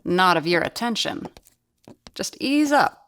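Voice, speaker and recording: A woman's voice: on the low side, expressive, a little slow, a bit bright, slight background noise. An actress playing a wry woman who teases a friend in a dry way in an audio drama, with music playing behind her.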